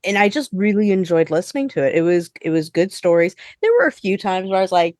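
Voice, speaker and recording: A woman's voice: on the low side, fast talker, a little mellow, no echo, studio quality. A woman hosting a podcast, leading a casual conversational discussion. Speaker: podcast host.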